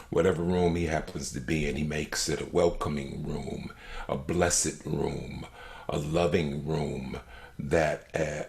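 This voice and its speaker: A man, a stage actor, talking reflectively in an interview, halting often with long hesitations and ums. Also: very deep voice, creaky.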